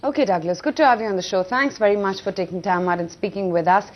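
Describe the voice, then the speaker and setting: A woman's voice: medium pitch, quick pace. Indian woman anchoring business news, slightly dull audio. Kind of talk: live business news presenting.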